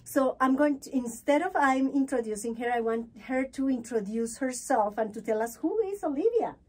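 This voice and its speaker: A woman's voice: sits high, expressive, normal speed, a little mellow, breathy edge. A woman hosting a conversational podcast interview, effusive and encouraging, switching between English and Spanish. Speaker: podcast host interviewing a guest.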